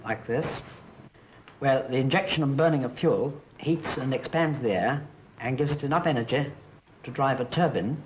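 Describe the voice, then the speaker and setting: A man's voice: mid-range voice, normal speed, close to an everyday voice. Man playing earnest British inventor, old film sound. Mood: earnest, explanatory. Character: earnest, modest British jet engine inventor. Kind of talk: 1950s docudrama acting. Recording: dull, noisy vintage film soundtrack.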